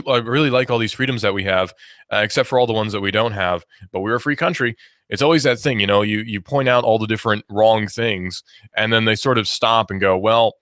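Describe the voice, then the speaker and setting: A young man in his twenties talks through his views on a radio show, looping back and restarting his sentences as he goes. His voice sits fairly low, and the sound is close and dry with no room echo. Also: fairly bright tone. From United States. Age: 20s. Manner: rambling, opinionated, many restarts and fillers. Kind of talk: casual talk radio monologue.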